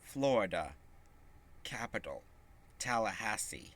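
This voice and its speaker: A man with a fairly low, bright voice reads a children's book aloud. He goes slowly and haltingly, and his pitch swings widely as he reads. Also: very animated. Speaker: storybook reader.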